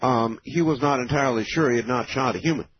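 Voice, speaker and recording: Man, 50, radio call-in host, measured and explaining, dull lo-fi broadcast, faint hiss. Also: medium pitch. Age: 50s. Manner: measured, explaining, many filler pauses. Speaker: radio talk show host. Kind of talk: late-night call-in radio.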